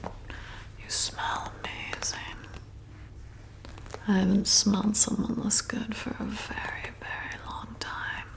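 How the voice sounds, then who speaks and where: A woman's voice: mid-range voice, little pitch movement, creaky, poor audio. A woman playing a flirty, hypnotic vampire bartender in an ASMR roleplay, soothing and coaxing as she repeats herself. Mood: hungry, seductive. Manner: soothing, coaxing, slow and repetitive.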